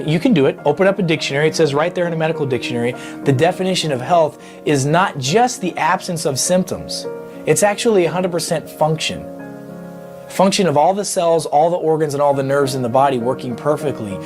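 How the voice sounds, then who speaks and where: A man with a somewhat high voice gives an informal, emphatic explanation. He stresses points by repeating key words. Guitar music plays clearly underneath his speech. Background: plucked guitar music.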